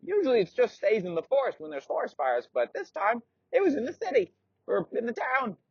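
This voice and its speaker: A frail old knight speaks in a squeaky, quavering voice, boastful and prone to crying out. The voice jumps very high and swings widely, with a husky edge. It is a dull lo-fi recording. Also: male voice, sing-song, brisk, dry recording, heavily acted. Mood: explaining.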